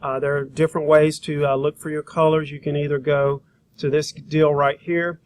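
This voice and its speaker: A man with a slightly high voice walks learners through a software demonstration. His delivery is informal and folksy, with a halting flow as he thinks aloud. He sounds like an instructor showing each step while he does it. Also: level tone, slight background noise. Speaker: graphic design instructor.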